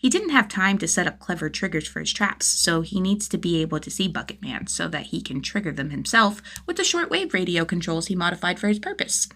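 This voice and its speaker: A woman's voice: on the low side, big pitch swings, a little fast, few pauses, bright, forceful tone. A woman narrating fan fiction as a scripted audiobook-style reading, with a dramatic, emphatic delivery. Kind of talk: expressive scripted story narration.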